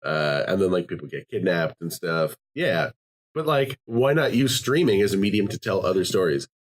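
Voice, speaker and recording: A man's voice: sits low, expressive, moderate pace. A man hosting a casual podcast chat, rambling with lots of fillers and restarts. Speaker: podcast host.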